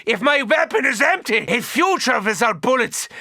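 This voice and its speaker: An actor playing a frantic, arrogant mad doctor in a video game, theatrical and imperious with formal wording. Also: male voice, very high-pitched, sing-song, normal speed, bright, forceful tone, no echo, studio quality, exaggerated voice. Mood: alarmed, desperate. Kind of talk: video game character barks.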